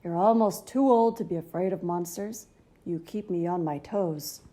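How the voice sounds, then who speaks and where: A woman's voice: on the low side, moderate pace, warm tone, close to an everyday voice. A woman voicing a male storybook monster, growly and courteous, fondly menacing. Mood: fond, proud. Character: male bedside monster, fond, growly, toe-hungry old companion.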